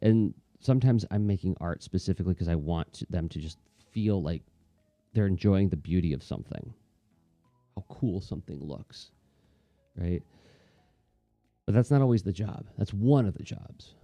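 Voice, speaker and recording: A man with a low, very mellow and relaxed voice chats casually as he works. He is thoughtful and conversational, rambling through his reflections. The room adds a clear echo, and faint music plays behind him. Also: normal speed, pauses often, highs cut off. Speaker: comics artist and teacher hosting a livestream. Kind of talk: casual reflective chat while drawing.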